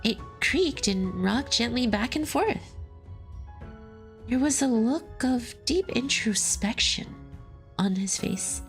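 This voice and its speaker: A woman with a fairly high but gravelly, fry-laden voice reads a game's story aloud. She stops now and then to joke and laugh about the scenes. Faint music plays behind her. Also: animated, slow pace. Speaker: streamer reading a game story aloud. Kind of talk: dramatic reading with commentary.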